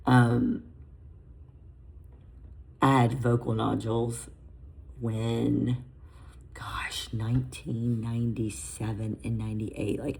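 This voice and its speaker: A woman in her fifties with a raspy voice, a fitness coach chatting casually to camera in a home vlog, self-deprecating and loose. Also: very deep voice, darkish tone. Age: 50s. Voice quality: raspy, gravelly. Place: home garage on a treadmill.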